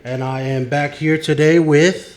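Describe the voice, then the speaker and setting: A man hosting a casual podcast chat, upbeat and teasing, chiming in with quick replies. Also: a little high, fairly even intonation, a little mellow. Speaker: podcast host.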